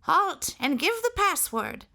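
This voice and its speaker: A woman voicing a simple-minded outlaw sentry with a high, thin, trembling voice. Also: very animated, slow pace, gravelly, sharp tone, heavily acted. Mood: high, fussy.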